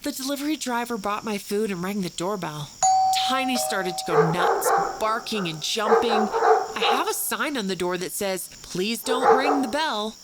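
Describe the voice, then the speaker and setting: A woman's voice: medium pitch, expressive, moderate pace, very noisy, natural voice. An actress playing an anxious woman who recounts a frightening night in detail in an audio drama, with a snippy edge. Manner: detailed, snippy, increasingly fearful recollection. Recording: prominent music under the voice. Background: music.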